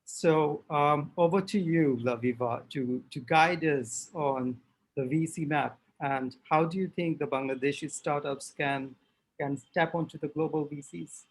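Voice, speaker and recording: A man moderating a webinar panel over a video call, speaking haltingly with many fillers as he hands over to the next speaker. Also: a little high, husky, poor audio.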